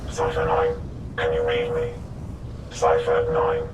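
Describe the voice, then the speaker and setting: A man's voice: very deep voice, wildly swooping pitch, takes it slowly, slightly rough, exaggerated voice, rough recording. An actor playing an urgent man calling a secret agent over a radio transmission in a video game.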